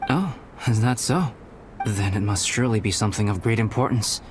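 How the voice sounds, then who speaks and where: A man voicing a calm, aloof young artist-scholar in an anime fantasy game, speaking in a measured, formal, articulate way. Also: on the low side, a little hiss or hum, lightly acted. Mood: wry. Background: game music.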